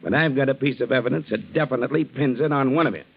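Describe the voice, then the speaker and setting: An actor playing a hard-nosed, accusing police investigator in a 1940s radio drama, questioning bluntly and briskly. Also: male voice, mid-range voice, gravelly, husky. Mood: stern, pressing. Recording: dull 1940s radio recording.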